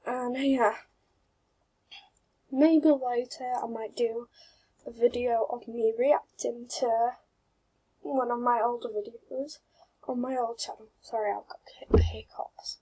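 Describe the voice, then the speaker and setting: A woman hosts her own gaming channel, talking quietly and awkwardly as she plays. Her voice is very breathy and a little high, and she speaks slowly, trailing off into mumbles and giggles. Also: steady tone, rough recording. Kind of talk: gameplay commentary.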